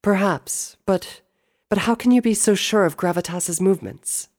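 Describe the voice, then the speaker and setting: A man's voice: high-pitched, normal speed, pitched above the performer's own voice. A man voicing a regal, earnest pony princess in a storybook, formal and intense in manner. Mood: questioning, earnest.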